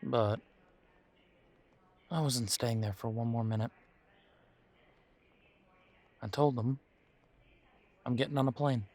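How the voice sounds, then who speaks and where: A man's voice: medium pitch, unhurried, a little mellow, natural voice. A man playing a bitter, wounded werewolf confiding in his partner in an intimate audio roleplay, with a sardonic, hesitant, conversational delivery. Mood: bitter, resentful.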